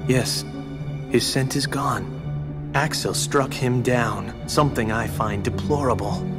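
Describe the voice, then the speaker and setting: An actor playing a calculating, disapproving member of a secret organization in a video game, with measured, formal, theatrical delivery. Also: male voice, medium pitch, natural voice. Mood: disdainful. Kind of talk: dramatic video game voice acting. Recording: ominous music behind.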